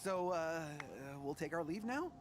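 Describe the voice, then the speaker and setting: A man playing a fawning, cowardly gang boss in a video game, with a slippery, theatrically flattering manner. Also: on the high side, moderate pace, soft background music. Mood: nervous, obliging. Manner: obsequious, theatrically flattering, stammering.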